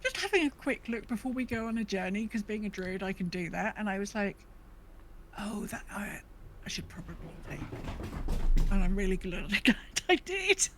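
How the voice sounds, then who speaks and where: An enthusiastic woman with a mid-pitched voice chats casually as a podcast co-host. She sounds supportive and informal, with faint noise behind her. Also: big pitch swings, moderate pace, a bit bright.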